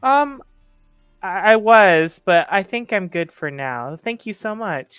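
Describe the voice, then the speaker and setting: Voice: mid-range voice, sing-song, slow pace, a bit bright, clean tone, no echo, dull audio, faint music behind, pitched above the performer's own voice. A player voicing a polite, hesitant orphaned teenage boy in a tabletop game, stammering a little. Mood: nervous, polite.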